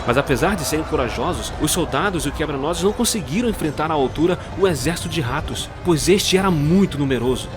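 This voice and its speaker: A man narrating a dark Christmas fairy tale slowly and dramatically. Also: mid-range voice. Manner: slow, dramatic storytelling. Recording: clear music bed under the voice.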